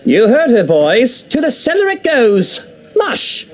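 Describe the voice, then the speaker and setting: A man's voice: very high-pitched, huge pitch swings, slow, background noise, exaggerated voice. A man playing an easygoing, playful husband in a visual novel, musing aloud and giving jokey orders. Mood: playful, commanding.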